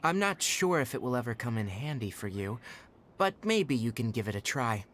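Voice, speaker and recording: A man voicing a composed, astute young forest ranger in a video game, speaking in a measured, matter-of-fact, articulate way. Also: medium pitch, very animated, fairly bright tone, slight background noise. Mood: helpful, explanatory. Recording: background music under the voice.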